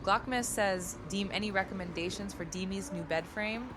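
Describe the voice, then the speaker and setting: A synthetic female text-to-speech voice reading viewer messages and its own overly formal replies in a flat way. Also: mid-range voice, normal speed, background noise.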